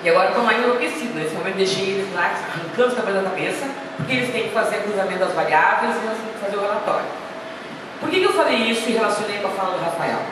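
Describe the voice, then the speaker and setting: A woman's voice: mid-range voice, expressive, racing. A woman giving a chatty, anecdotal talk to an audience, with self-deprecating asides. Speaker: guest speaker. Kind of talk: informal lecture. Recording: echoey hall, speaker far from the mic, noisy.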